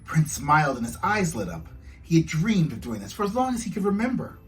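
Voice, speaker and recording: A man's voice: fairly high pitch, big pitch swings, brisk, far from the mic, faint music behind. A man, an author, reading his children's storybook aloud to young listeners.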